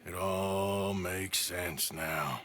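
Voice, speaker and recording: An actor playing a worn, betrayed outlaw gunman in a Western video game, bitter and accusing in a halting delivery. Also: sits low, steady tone, sharp tone, heavily acted. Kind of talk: cinematic video game acting. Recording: music behind.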